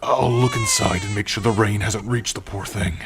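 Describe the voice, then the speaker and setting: A man voicing a gentle, protective orc in an ASMR roleplay, speaking softly and haltingly to his partner in a husky, breathy voice. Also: fairly low pitch, steady tone, noisy background. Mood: startled, concerned. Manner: soft, halting, hushed, intimate.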